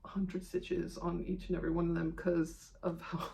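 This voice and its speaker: Creaky-voiced woman chatting casually. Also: fairly low pitch, flat delivery, moderate pace, background noise. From Iceland. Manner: rambling, self-deprecating, chatty. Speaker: video host of a cross-stitch channel. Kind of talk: casual vlog chat to camera.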